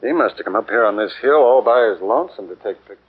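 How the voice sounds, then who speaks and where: An actor playing a friendly American traveler in a 1940s radio drama, casual and chatty. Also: male voice, fairly low pitch, lively intonation, fast talker, breathy edge. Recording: muffled 1940s radio broadcast.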